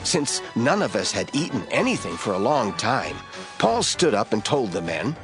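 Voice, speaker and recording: Male narrator, scripted storytelling, animated pitch, faint music score. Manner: measured storytelling. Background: soft music score.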